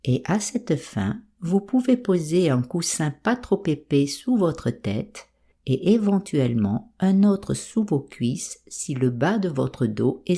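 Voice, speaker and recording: A woman leads a guided relaxation in a low, mellow voice. She speaks very slowly and soothingly, with soft breath in the tone and a melodic rise and fall. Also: husky. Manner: calm, soothing, unhurried instruction. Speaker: meditation guide. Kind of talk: guided yoga nidra meditation.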